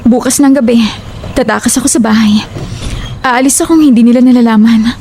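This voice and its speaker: A woman's voice: a little high, steady tone, brisk, warm tone, a little hiss or hum, lightly acted. A woman playing a troubled, determined young daughter in an audio drama, sounding weary and resolute. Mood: fed up.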